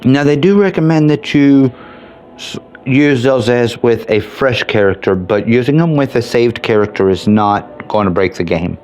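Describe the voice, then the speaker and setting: A man's voice: medium pitch, normal speed, darkish tone, soft background music. A man giving casual commentary while he plays a video game. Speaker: gameplay streamer reviewing game mods. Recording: noticeable background noise.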